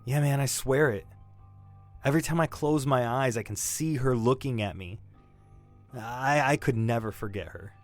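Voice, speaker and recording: An actor playing a shaken young male robbery suspect in an audio drama, defensive and grieving, halting and stammering as he talks. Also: mid-range voice, soft background music, lightly acted. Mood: desperate insistence. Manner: halting, stammering, repeating himself. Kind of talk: naturalistic audio drama acting.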